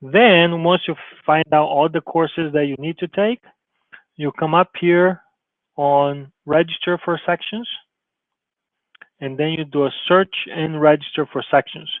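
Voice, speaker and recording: A man's voice: on the high side, steady tone, unhurried, no echo. A male college advisor giving an informal screen-share tutorial, talking loosely with restarts and frequent "okay?" check-ins. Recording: tinny phone-like narrow sound, dry.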